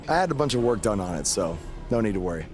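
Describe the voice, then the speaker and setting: A man's voice: fairly low pitch, big pitch swings, a little fast, soft background music. A man playing a humble, earnest young underdog wrestler in a video game, speaking sincerely and modestly. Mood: easygoing. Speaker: professional wrestler.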